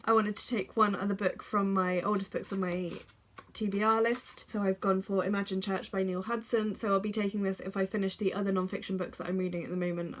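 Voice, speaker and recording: A young woman with a congested, snuffly voice from a head cold, chatting casually to camera about the books she plans to read and often stopping to correct herself. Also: mid-range voice. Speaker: book vlogger.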